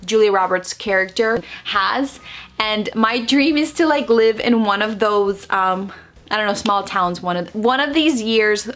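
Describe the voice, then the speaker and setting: A woman in her thirties whose first language is Spanish, chatting through a casual vlog in her bedroom. She talks animatedly with her hands, full of fillers and quick restarts. She sits close to the camera. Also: medium pitch, fairly bright tone. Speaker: vlogger. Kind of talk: casual facts-about-me vlog. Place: bedroom at home, indoors. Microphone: camera close in front, seated.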